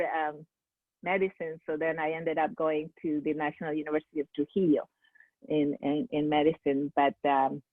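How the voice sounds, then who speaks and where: A woman from Peru hosts a small online seminar, her voice sitting low and coming through a thin, phone-like video-call line. She talks haltingly, restarting phrases and filling pauses with "uh" and "um". The sound is dry, with no room around it. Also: no room sound. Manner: halting, many fillers and restarts. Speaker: seminar host. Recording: tinny phone-like call audio.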